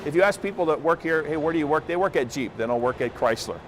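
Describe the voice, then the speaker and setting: A man's voice: on the high side, animated, fast. An American man who works at a car plant, speaking in a news interview. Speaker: auto plant worker interviewed. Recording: clear vehicle and plant noise.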